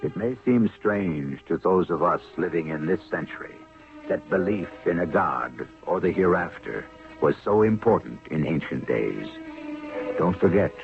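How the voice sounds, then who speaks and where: A man with a gravelly voice, solemnly narrating a scripted radio drama in a theatrical storytelling way. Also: deep voice, lively intonation, a little slow. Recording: muffled old radio recording, music behind.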